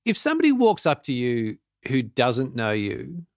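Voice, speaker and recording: Lively-voiced man hosting an interview. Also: mid-range voice, moderate pace, no room sound, studio quality. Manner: curious, thoughtful questioning. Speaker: podcast host. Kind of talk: conversational interview.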